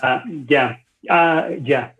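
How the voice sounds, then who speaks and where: A man of forty from Venezuela, telling his story casually as a podcast guest in a halting, thoughtful way over somewhat dull audio. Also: mid-range voice, a bit bright. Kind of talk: casual personal storytelling in interview.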